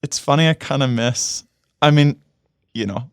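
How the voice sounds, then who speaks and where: Smooth-voiced Canadian man, loose and rambling. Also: mid-range voice, normal speed, no echo, studio quality. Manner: loose and rambling, heavy on fillers, laughs easily. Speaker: guest musician. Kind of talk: casual interview chat. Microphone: studio microphone.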